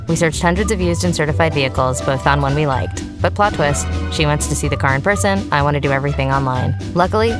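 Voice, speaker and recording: A woman voiceover artist reading scripted first-person narration for a commercial. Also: low voice.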